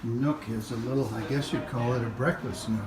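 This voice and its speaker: A man's voice: medium pitch, steady tone, crowd noise. A middle-aged man thinking aloud as he walks through a house at an open house, filming with a handheld camera. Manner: musing, halting, thinking aloud. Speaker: house-hunting vlogger. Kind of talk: casual walkthrough commentary. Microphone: handheld camera mic. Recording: echoey rooms, background noise.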